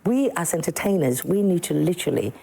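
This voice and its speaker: Woman from ghana, low gravelly voice, husky, mellow, emphatic musician guest in a tv studio. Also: expressive, a little fast. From Ghana, Sekondi-Takoradi. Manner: emphatic, repeats words for stress. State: a bit slow this morning. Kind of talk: morning TV studio interview.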